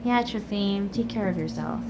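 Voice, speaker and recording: A woman giving casual, expressive gameplay commentary, reacting aloud and talking to the characters. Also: medium pitch, normal speed, low-quality recording. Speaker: video game streamer. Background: game music.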